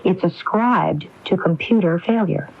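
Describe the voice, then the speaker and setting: An actress playing a determined, anguished widow in a radio mystery drama, speaking in an insistent, probing way. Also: female voice, lowish voice, unhurried, gravelly, slightly rough, exaggerated voice. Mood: pleading, weary. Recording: dull lo-fi AM radio broadcast recording.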